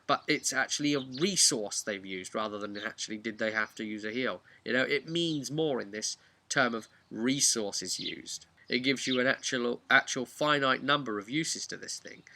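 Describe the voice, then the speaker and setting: A man giving an informal, animated, chatty explanation of tabletop roleplaying rules. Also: mid-range voice. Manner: animated, chatty, acts out imagined player remarks. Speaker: tabletop game master giving advice.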